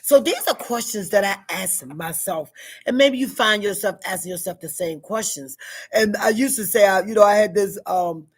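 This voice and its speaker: A woman in her sixties talks in a loose, rambling vlog style, asking herself questions and stacking fillers as she goes. Her voice is deep and heavy with a husky, breathy edge, sitting at a middling pitch for a woman. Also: moderate pace. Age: 60s. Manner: chatty, rambling, frequent fillers and self-questions. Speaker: vlogger. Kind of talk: casual personal vlog. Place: at school.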